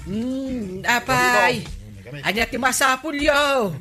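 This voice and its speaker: A woman's voice: a little high, slow pace, over music. A woman with an Ilocano accent playing the nagging wife of a village captain in a radio comedy drama. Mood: exasperated.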